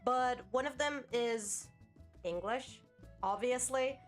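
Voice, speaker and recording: A woman's voice: on the high side, takes it slowly, soft background music. A woman in her thirties, a native Turkish speaker, chatting casually on a livestream in a rambling, self-mocking way and swearing now and then. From Turkey. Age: 30s. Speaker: VTuber streamer.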